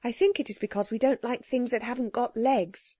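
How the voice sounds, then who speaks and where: A thoughtful storybook girl states her views in an earnest, firm way, as a woman reader plays her. The voice is mellow and warm. It sounds dry and close to the mic. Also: fairly high pitch, fairly quick, no echo, close to an everyday voice.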